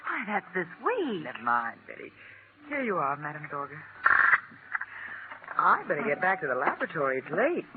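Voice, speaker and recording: A young woman, skeptical and brisk, with very lively intonation. The vintage radio recording is tinny and noisy. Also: low voice. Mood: flustered, dismissive. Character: young bride-to-be, skeptical but curious. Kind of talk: 1940s radio acting.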